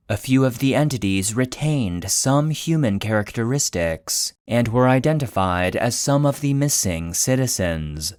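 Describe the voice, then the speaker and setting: Male podcast narrator: unhurried, serious, clinical delivery of scripted documents, dry studio sound. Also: fairly low pitch, darkish tone, no echo. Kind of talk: scripted narration of fictional clinical case file.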